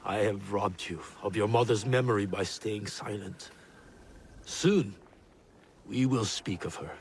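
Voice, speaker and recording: An actor playing a solemn, tender middle-aged shinobi father and clan elder, speaking in a measured, reflective way in a video game drama. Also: lowish voice, a little hiss or hum. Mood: tender, grave.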